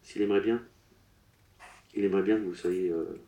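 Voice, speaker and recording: A man working as a medium at a public séance, relaying messages in short, broken, halting phrases. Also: deep voice, steady tone, warm tone. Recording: roomy echo.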